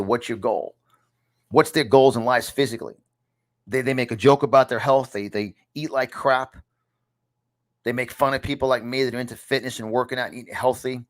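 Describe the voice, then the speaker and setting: A man's voice: mid-range voice, steady tone, fairly quick. Emphatic man in his fifties with a New York accent. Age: 50s. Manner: emphatic, repeats phrases for effect. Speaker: success coach. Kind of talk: motivational lesson to camera. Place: small home studio in a condo.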